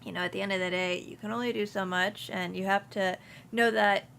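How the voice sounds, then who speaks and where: Young woman, gravelly, bright tone, relaxed chatty guest, faint noise behind. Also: mid-range voice, fairly quick. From Houston, US. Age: young adult. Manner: relaxed, many ums and restarts. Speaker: insurance agency principal, guest. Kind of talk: casual interview conversation.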